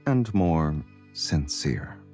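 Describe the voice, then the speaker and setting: A man playing a calm, confident, teasing romantic hero in a game, speaking in a composed, intimate way. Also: a bass voice, very slow, full character voice. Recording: music behind the voice.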